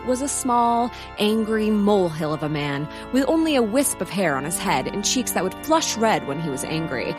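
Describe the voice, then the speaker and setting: A woman narrating a comic audio drama from a script in a dramatic storytelling style. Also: on the low side. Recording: music under the narration. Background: music.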